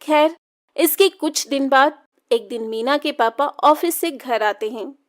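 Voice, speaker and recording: A woman's voice: high-pitched, takes it slowly. A woman narrating a scripted children's story as the storyteller.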